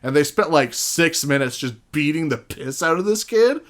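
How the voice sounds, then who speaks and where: A man's voice: fairly high pitch, animated, normal speed, a bit bright. Casually chatting man, swearing freely. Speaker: podcast guest. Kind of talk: casual podcast discussion.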